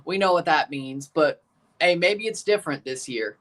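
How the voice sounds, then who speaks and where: Male streamer, slightly hoarse, doing casual play-by-play with dry remarks to chat. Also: a little high, lively intonation, normal speed, a bit bright. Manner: calls play like a commentator, dry asides to chat. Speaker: livestream watchalong host. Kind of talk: live match reaction and play-by-play.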